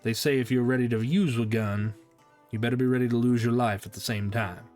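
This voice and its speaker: A man voicing a folksy old western man who speaks in a slow drawl about a family heirloom gun. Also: mid-range voice, steady tone, darkish tone, faint music behind, lightly acted. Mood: ominous.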